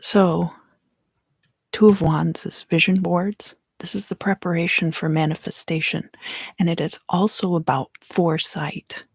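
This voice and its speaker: A woman in her thirties gives an ASMR tarot reading in hushed, unhurried, deliberate phrases, close to the microphone. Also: lowish voice, fairly even intonation, gravelly, slightly rough, no echo. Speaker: tarot reader and ASMR host. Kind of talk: ASMR tarot reading, whispered and softly spoken.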